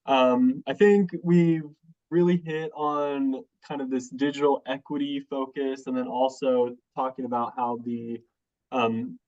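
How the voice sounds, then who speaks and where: A man's voice: mid-range voice, measured pace. Young man, stuffed-up nasal voice, casual and hedging, muffled call sound. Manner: relaxed, hedging with "kind of". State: nasal from a cold. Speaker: rural work group lead. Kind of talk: casual meeting update.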